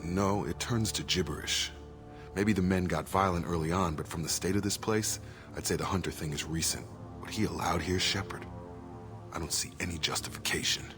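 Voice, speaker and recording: A man's voice: low-pitched, normal speed. An actor playing a grim, plain-spoken soldier in a video game, brooding over his father's crimes. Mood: grim, troubled. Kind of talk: scripted video game dialogue. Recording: loud game music behind.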